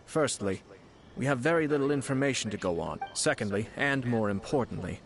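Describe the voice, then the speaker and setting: An actor playing a polite, shrewd young nobleman in a video game, speaking in a measured, formal way. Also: medium pitch, expressive, a little hiss or hum, close to an everyday voice. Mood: thoughtful. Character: courteous young nobleman, composed, shrewd clan head. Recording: music behind the voice. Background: game music.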